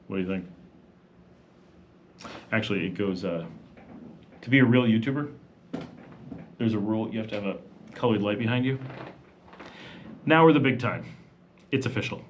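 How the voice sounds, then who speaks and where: Man, relaxed livestream host, chatty, reads comments out, casual and loose. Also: fairly low pitch, moderate pace, pauses often, muffled recording, a little hiss or hum. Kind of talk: casual live Q&A chat.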